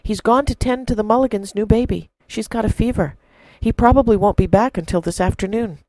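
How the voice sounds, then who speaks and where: A woman's voice: mid-range voice, a little fast, warm tone, dry recording, pitched above the performer's own voice, natural voice, low-quality recording. A woman doing a kindly old farmer. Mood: urgent reassuring. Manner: firm, reassuring advice. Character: older male farmer, kindly and calm.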